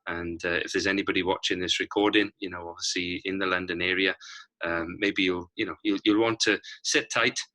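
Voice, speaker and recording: A man's voice: sits low, steady tone, brisk, bright, forceful tone. A man hosting a casual interview, encouraging and wordy, often repeating his phrases.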